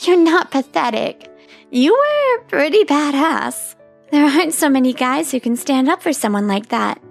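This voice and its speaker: A brash delinquent schoolgirl gang chief, played by a woman in a manga dub. The voice sits very high but talks blunt and streetwise. Soft music plays underneath. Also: sing-song, a little slow, vocal fry, full character voice. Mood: tender. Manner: blunt, streetwise, tough talk. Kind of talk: manga voice-over acting.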